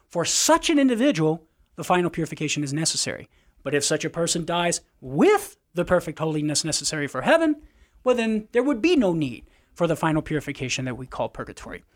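A man with a Cajun accent on call-in radio, explaining doctrine in a teacherly way and often calling the caller by name. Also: high-pitched. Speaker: apologist.

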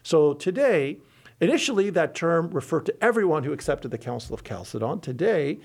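Gravelly-voiced middle-aged bishop. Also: male voice, fairly high pitch, sing-song, normal speed, darkish tone. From Lebanon. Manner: earnest, teaching, with frequent restarts. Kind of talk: explanatory interview talk.

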